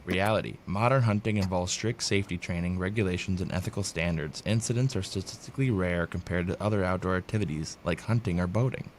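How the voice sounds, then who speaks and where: A man co-hosting a casual podcast, reading points aloud plainly and chiming in with quick agreement. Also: deep voice, a little hiss or hum. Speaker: podcast co-host.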